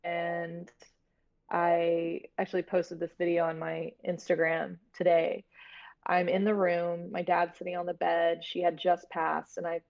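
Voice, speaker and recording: An American woman from Florida talking casually and candidly as an interview guest, in a halting storytelling way. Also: lowish voice, completely flat delivery, vocal fry. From Florida, United States. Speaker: guest, horror series producer. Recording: dull, lo-fi audio.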